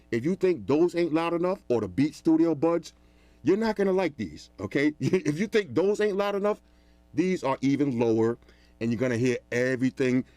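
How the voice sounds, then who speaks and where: A man giving a casual, animated product review in a loud, talkative voice. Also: mid-range voice, no echo. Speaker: tech reviewer.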